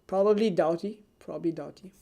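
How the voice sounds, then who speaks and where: A streamer from Singapore, answering viewer questions in a live stream with a soft, low-key, almost ASMR-like delivery. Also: a little fast, vocal fry. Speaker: fantasy football streamer.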